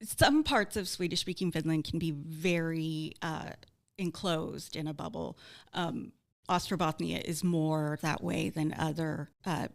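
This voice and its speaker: A woman guest with a somewhat low voice, explaining things at an easy pace in a casual chat. She uses fillers as she talks and laughs readily. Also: steady tone, unhurried, creaky, hardly any pauses.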